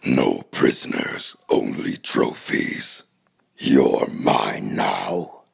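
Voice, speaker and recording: Cartoon robot henchman: cold, menacing, rock-bottom pitch, crackling gravel, deliberate delivery. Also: exaggerated voice. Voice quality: very gravelly, raspy monotone growl. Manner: slow, clipped, deliberate. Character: cold menacing robot spy, loyal to his warlord. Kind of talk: cartoon voice audition.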